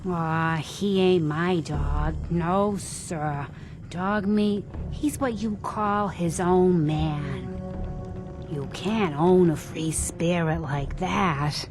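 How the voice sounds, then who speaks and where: An actress playing a weary, folksy old seer in a video game, with an American accent and a slow, dramatic, breathy delivery. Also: female voice, fairly low pitch. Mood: warm, folksy. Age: older. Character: weary old psychic woman, folksy and spellbound. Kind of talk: video game character acting. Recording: loud music behind the voice.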